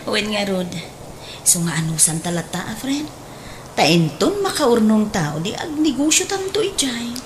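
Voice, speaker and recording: A woman's voice: mid-range voice, very animated, measured pace, slight background noise. A woman acting a hopeful, patient young overseas worker in an Ilocano radio drama, with an Ilocano accent. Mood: encouraging.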